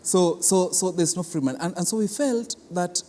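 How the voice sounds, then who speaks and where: A man with a Kenyan accent explaining a programme in an interview as an official, deliberate and repeating words for emphasis. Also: sits high, a little mellow. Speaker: cultural centre official being interviewed. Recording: reverberant hall.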